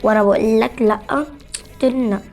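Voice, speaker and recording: A woman's voice: on the high side, fairly even intonation, quick pace, darkish tone, soft background music. A woman with an Egyptian accent chatting casually and teasingly as she hosts a gaming video. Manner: playful, teasing. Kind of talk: casual chat while playing a game.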